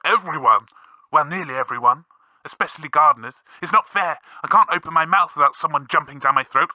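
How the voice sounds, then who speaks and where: A man's voice: on the high side, brisk, pushed and bright, no echo. A man playing a bigoted giant talking slug, a whining, aggrieved caller on a comedy radio show. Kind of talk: scripted comedy radio phone-in acting.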